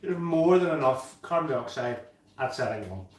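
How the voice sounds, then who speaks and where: A man in his fifties hosts a relaxed home-brewing livestream, reading viewer comments aloud and laughing easily. He sits back from the mic, so his voice sounds distant in an echoey room. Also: mid-range voice, normal speed. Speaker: livestream host.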